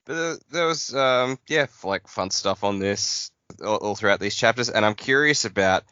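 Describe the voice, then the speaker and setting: A man's voice: mid-range voice, bright, forceful tone, clean tone, no room sound, lo-fi sound. A man co-hosting a podcast, thinking aloud about a book in a rambling, casual way. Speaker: podcast co-host. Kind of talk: casual book club chat.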